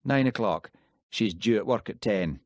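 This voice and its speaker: A blunt, bossy detective, brisk and dismissive, his voice low, as a male narrator voices him. The reading stays close and dry. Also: expressive, a little mellow, dry recording.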